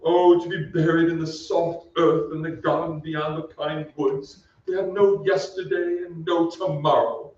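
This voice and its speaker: Distant echoey stage, male actor as ancient noble ghost, high voice, pompous, mournful, archaic radio-play delivery. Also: fairly even intonation, a little slow, few pauses, darkish tone, muffled recording, close to an everyday voice. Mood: sorrowful. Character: pompous, melancholy centuries-old male aristocratic English ghost. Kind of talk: old-time radio drama acting. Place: on stage in a theatre.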